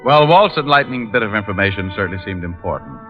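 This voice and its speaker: A muffled vintage radio recording carries an actor as a private eye with a low voice. He is sardonic and flirtatious, delivering dry wisecracks in classic detective drama style, with music in the recording. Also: expressive, fast talker, in a large hall, lightly acted. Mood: wry. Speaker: lead actor and narrator.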